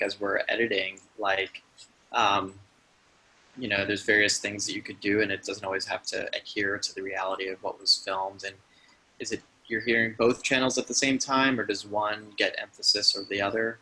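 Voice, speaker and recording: A man's voice: fairly low pitch, sharp tone, a little hiss or hum, rough recording. A man artist in a casual chat, easygoing and thinking aloud about sound ideas. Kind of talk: casual conversation.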